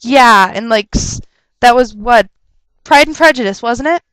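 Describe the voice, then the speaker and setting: A young woman rambles through viewer questions in a dry, close webcam recording. Her voice sits fairly high and stays smooth even though she is stuffed up from a cold. She chats loosely and slides into rants. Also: no echo, low-quality recording. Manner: chatty, rambling, quick to laugh and rant. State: stuffed up with a sore throat. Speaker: vlogger answering viewer questions. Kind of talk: casual rambling webcam vlog.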